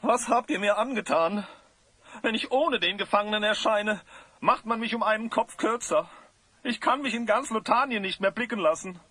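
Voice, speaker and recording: A man playing a gruff, indignant coachman and jailer in an audio drama, complaining and blustering. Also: high-pitched, normal speed, pushed and bright, dry recording. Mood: aggrieved. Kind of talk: German audio drama acting.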